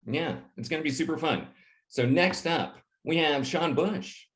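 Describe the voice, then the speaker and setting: Upbeat male host, roomy sound. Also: a little high. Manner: upbeat, quick transitions. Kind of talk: event hosting.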